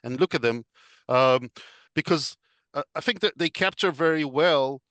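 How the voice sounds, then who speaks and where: A man, a guest on a podcast interview over a video call, explaining in a measured way with frequent fillers. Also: fairly high pitch, a bit bright, no room sound. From Israel. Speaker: podcast guest, business consultant. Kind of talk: casual interview chat.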